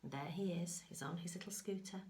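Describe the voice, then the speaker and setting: A woman reading a picture book aloud to young children, mixing expressive sing-song lines with hushed chatty asides. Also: lowish voice, fairly quick, a little hiss or hum. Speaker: infant school story reader.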